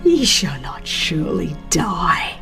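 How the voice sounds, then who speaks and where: A woman voicing a cunning, persuasive serpent tempter in a dramatized religious audiobook, with an insinuating, drawn-out delivery. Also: fairly low pitch, huge pitch swings, music in the background, voice pushed down, full character voice. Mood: sly tempting.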